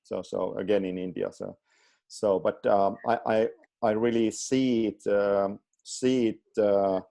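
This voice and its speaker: A man's voice: fairly low pitch, fairly even intonation, measured pace, creaky, dark tone. A man with a Finnish accent talking casually on a video call, often repeating words as he searches for them in English. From Finland.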